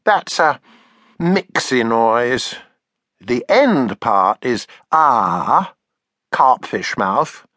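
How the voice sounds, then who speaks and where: A man reading aloud and voicing an eager, excitable little cave girl in a storybook, chirpy and breathless with excitement. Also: medium pitch, very slow, no echo, clean studio sound, full character voice. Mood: excited.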